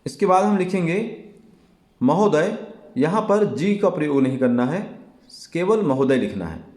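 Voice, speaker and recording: A man teaching an exam lesson in a deliberate, explanatory way, repeating key phrases as he goes. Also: fairly high pitch, sing-song, darkish tone. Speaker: Hindi teacher. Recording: echoey, reverberant room.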